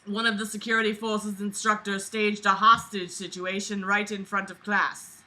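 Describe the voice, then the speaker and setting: A woman with a very bright, piercing voice reads a book aloud for an online audience. She comments as she goes in a sarcastic, exasperated and freely swearing manner. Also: medium pitch, little pitch movement, normal speed, low-quality recording. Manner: sarcastic, exasperated, foul-mouthed.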